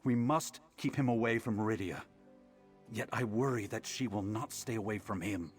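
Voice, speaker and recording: An actor playing a grave, learned mage advisor in a fantasy video game, speaking in a deliberate, formal, solemn way. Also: male voice, fairly low pitch, soft background music, natural voice. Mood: grave, concerned.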